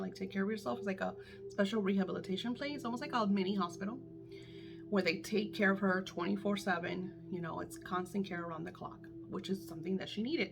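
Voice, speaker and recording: A woman's voice: medium pitch, vocal fry. A middle-aged woman coaching her viewers in casual, candid talk, emphatic and swearing freely, her voice a little worn as if she is losing it. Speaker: manifestation coach.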